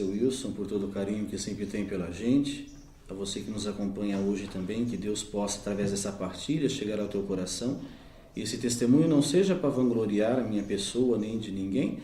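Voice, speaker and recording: Earnest man in his thirties, warm voice. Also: fairly low pitch, fairly quick, reverberant, a little hiss or hum. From Brazil. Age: 30s. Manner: earnest, reflective, with restarts. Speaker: deacon giving testimony. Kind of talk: personal faith testimony.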